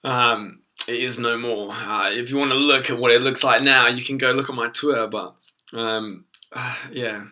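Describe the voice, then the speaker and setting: A young man, hoarse from losing his voice, rambling casually through a live stream with lots of ums and swearing. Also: medium pitch, fairly even intonation, normal speed, sharp tone. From England.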